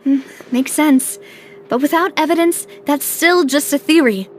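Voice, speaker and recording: A woman playing a poised, resolute young investigator in a video game, speaking in a deliberate, thoughtful way. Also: sits high, slight background noise. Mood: pondering, analytical. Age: young adult.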